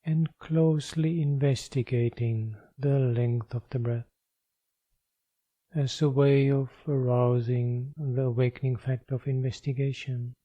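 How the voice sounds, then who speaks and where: Very dark, mellow-voiced man guiding meditation. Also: mid-range voice, dry recording, dull audio. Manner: measured, deliberate phrasing with gentle instruction. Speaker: meditation teacher. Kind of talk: guided meditation.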